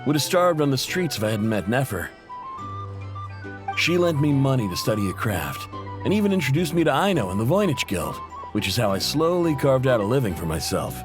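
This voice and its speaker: A man's voice: mid-range voice, big pitch swings, brisk, lightly acted. An actor playing a friendly, modest workman and family man in a video game, chatty, affable and self-deprecating. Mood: grateful, amiable. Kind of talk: video game character acting. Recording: music behind the voice.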